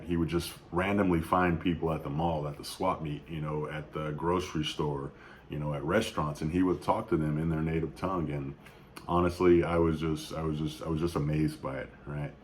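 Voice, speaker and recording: A man in his thirties speaking off the cuff in a somber, reflective video tribute, halting often with fillers and restarts. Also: a bass voice, a little hiss or hum. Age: 30s. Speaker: YouTube vlogger. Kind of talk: unscripted personal tribute.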